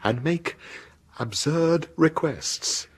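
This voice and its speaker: An actor playing a terrified British gentleman in a radio horror drama, agitated and stammering. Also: fairly high pitch, animated, drawn-out words, husky, exaggerated voice. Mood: haunted, urgent. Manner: agitated, stammering, broken repetitions. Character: terrified, haunted gentleman pursued by a supernatural evil. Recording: noisy vintage radio drama broadcast.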